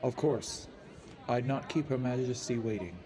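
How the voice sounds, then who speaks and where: A man playing a coldly calculating assassin who poses as a lord, narrating gravely and deliberately in a fantasy audio drama. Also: medium pitch, a crowd in the background, background noise, close to an everyday voice. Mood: courteous, commanding.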